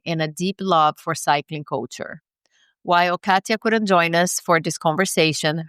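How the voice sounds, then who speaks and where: A woman in her forties hosting a podcast, introducing a guest in a measured, upbeat way and asking him questions. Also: lowish voice, fairly bright tone, clear, resonant tone, no room sound, studio quality. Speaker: podcast host. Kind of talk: read sponsor ads and scripted intro, then interview.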